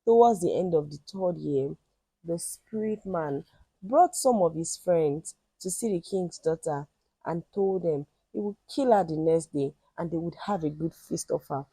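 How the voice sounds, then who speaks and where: A woman telling a folktale in an expressive, emphatic and dramatic storytelling style. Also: fairly low pitch, measured pace, husky, no echo, rough recording.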